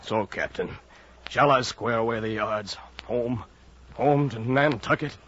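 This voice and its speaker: An actor playing a loyal, homesick first mate, respectful and imploring, in old time radio drama style. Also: male voice, medium pitch, a little slow, a bit bright, husky, lo-fi sound. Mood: pleading.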